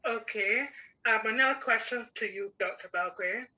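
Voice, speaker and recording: A woman hosting an online session, putting questions to a guest in a measured way over a video call. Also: on the high side, sharp tone, lo-fi sound. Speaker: host. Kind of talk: webinar moderation.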